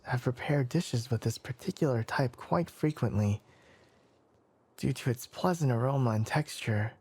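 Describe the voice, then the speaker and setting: A man playing a young, scholarly forest ranger with animal ears in an ASMR roleplay, courteous and measured, with a soft, breathy voice. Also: mid-range voice. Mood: studious, musing. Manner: measured, hesitant, courteous, bookish phrasing. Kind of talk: ASMR roleplay, soft character acting addressed to listener.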